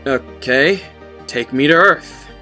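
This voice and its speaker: Man acting bewildered, foul-mouthed everyman, sharp-edged. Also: mid-range voice, slow pace, soft background music. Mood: uneasy, self-reassuring. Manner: muttering to himself, bursting into exasperated yelling. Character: bewildered, foul-mouthed ordinary man stranded in a strange place. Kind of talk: audiobook character acting.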